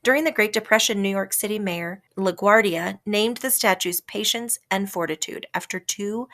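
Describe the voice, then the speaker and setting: A woman reading a children's story aloud as scripted narration, with a dramatic, expressive delivery that varies for effect. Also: medium pitch, moderate pace. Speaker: storybook reader.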